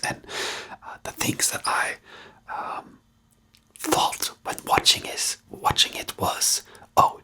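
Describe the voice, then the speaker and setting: A person speaking in a breathy whisper, giving unscripted ASMR commentary in a hesitant, rambling way with frequent restarts. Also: sharp tone. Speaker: ASMR host reviewing a TV series.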